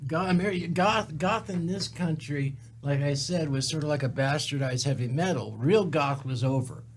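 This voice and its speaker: A man's voice: fairly high pitch, normal speed, slight background noise. A man who is a singer-songwriter, a guest on a radio talk show. He rambles a little, drops band names and makes fun of himself. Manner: rambling, self-deprecating, lists band names. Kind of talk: casual studio chat. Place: internet radio studio.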